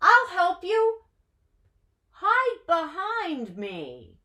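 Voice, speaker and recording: Woman as an excitable, high-pitched young fox boy. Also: very slow, heavily acted. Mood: urgent, excited. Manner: urgent, exclaiming. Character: young male fox, excitable, helpful storybook child.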